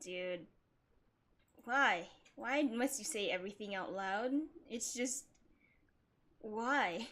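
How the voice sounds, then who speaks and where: A woman's voice: medium pitch, slow pace, long pauses, sharp tone. A woman livestreamer reacting casually to a video game, chatty, blunt and full of slang. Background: video game music.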